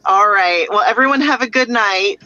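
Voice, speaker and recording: A woman's voice: medium pitch, normal speed, pushed and bright, highs cut off, faint music behind. A woman doctor chatting casually on a video call, frank and encouraging, with lots of "you know" fillers. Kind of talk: casual chat.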